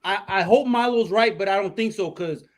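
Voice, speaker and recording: A man's voice: high voice, brisk. A man co-hosting a casual podcast, talking politics with friends, swearing freely and restarting his sentences. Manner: animated, swearing, many restarts. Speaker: podcast co-host.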